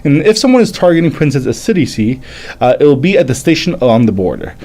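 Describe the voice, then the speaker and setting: A man in his thirties whose first language is French, hosting a casual live manga reading and chat, halting and stumbling as he reads aloud. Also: mid-range voice, very animated, warm tone. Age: 30s. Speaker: livestream host, manga reviewer.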